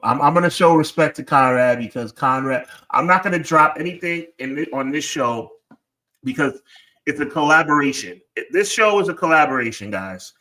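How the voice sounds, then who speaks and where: A man's voice: fairly high pitch, lively intonation, moderate pace, sharp tone. A man co-hosting a live wrestling podcast, chatting in slangy, rambling banter. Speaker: podcast co-host. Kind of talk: casual live-stream chat.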